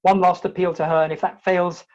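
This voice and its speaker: A woman's voice: fairly low pitch, level tone, brisk, darkish tone, lightly acted. A woman playing an indignant, exasperated comic hero in a Victorian play, wordy and emphatic, in lo-fi video-call audio. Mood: desperate.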